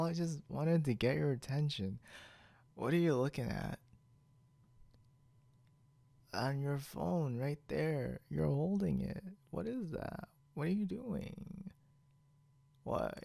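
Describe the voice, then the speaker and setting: A man's voice: medium pitch, vocal fry, a little mellow, no echo, exaggerated voice. A man playing a tired, playful drunk young man, rambling and giggly in an intimate ASMR roleplay, close to the mic. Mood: playful, teasing. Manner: rambling, slurred-slow, giggly, whispery at times. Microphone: close microphone, dry.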